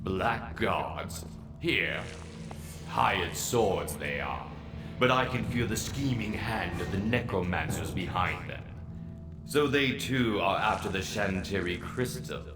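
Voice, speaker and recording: A man playing an older, watchful wizard mentor in a fantasy game, giving measured, authoritative instructions. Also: low voice, lively intonation, roomy sound, heavily acted. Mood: brooding. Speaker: mentor guiding the player. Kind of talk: scripted fantasy game dialogue. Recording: loud game music over the voice.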